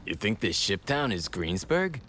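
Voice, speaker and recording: A man's voice: fairly low pitch, very animated, a little slow, pushed and bright, slight background noise, exaggerated voice. A man playing a laconic, skeptical adventurer with a dry manner in an audio drama. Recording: music behind the voice.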